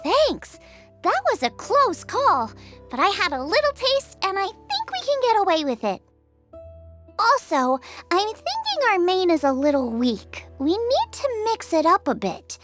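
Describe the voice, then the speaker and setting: A woman voicing an excitable, cheerful and bossy girl chef in an animated video game, perky and emphatic. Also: extremely high pitch, unhurried, soft background music, full character voice. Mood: excited, upbeat.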